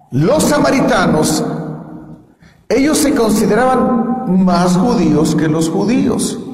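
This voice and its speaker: A man's voice: high voice, darkish tone. A man preaching a sermon, asking the congregation questions and having them repeat lines after him. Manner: asks the congregation to repeat and answer. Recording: echoey hall with long reverb.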